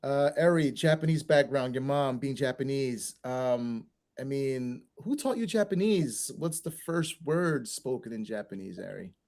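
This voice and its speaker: A man hosting a casual chat, upbeat and firing off quick follow-up questions. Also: mid-range voice, lively intonation, a little mellow. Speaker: host.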